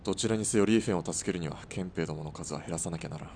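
A man playing a gruff, resolute sniper in a radio drama, speaking tersely and grimly. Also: very fast, a little mellow. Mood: focused. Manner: terse, grim. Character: resolute, gruff male sniper in Taisho-era Japan. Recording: faint rumbling background noise.

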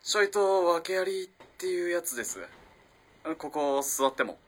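A man's voice: sits high, moderate pace. A man playing a young student in a radio drama, flustered and evasive, politely stammering and hesitant. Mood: sheepish. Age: young adult. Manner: stammering, hesitant, excitable when cornered. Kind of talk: Japanese radio drama acting.

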